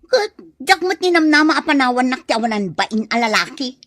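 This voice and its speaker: Young single mother, lovestruck and plaintive, high dry voice, for Ilocano audio drama female actor. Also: expressive, brisk, fairly bright tone, no echo. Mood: earnest, defensive.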